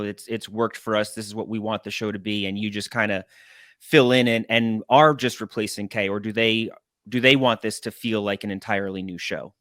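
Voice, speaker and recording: A man's voice: on the low side, a bit bright, no echo, clean studio sound. A man hosting a sports media interview, asking long, loosely phrased questions. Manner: brief affirmations, rambling questions. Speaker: interviewer, podcast host. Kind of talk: conversational interview.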